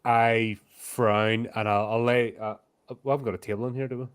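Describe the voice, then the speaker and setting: A man's voice: mid-range voice, fairly even intonation, fairly quick, clean tone. A man playing a tabletop roleplaying game, casually planning and narrating his own character's actions.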